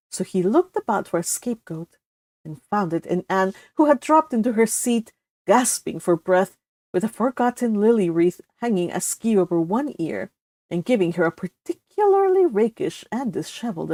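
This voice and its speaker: A woman reading a classic novel aloud as expressive scripted storybook narration. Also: medium pitch, dry recording. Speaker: audiobook narrator.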